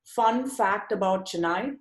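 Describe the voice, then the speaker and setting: A woman's voice: mid-range voice, slow, echoey room, rough recording. A woman from India hosting an interview podcast, friendly and encouraging, with frequent fillers. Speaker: podcast host.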